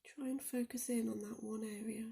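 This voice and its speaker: Soft, mellow woman guiding meditation, slightly creaky. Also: on the high side, fairly even intonation. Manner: soft, soothing, often breathy and near-whispered; slow and deliberate with gentle pauses. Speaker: meditation guide. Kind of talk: guided body scan meditation.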